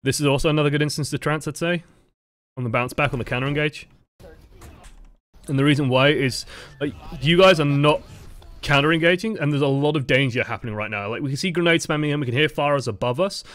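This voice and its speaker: A young man casually commenting on game footage as a coach, relaxed and chatty, with the odd swear word. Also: fairly high pitch, quick pace.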